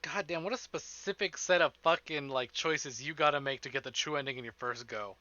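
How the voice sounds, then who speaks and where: A man giving casual, profane livestream commentary on a game, expressive and often talking to himself. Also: fairly high pitch, fairly quick, no room sound, muffled recording. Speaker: streamer playing a visual novel. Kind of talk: casual livestream commentary with dramatic read-aloud of game text. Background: game music.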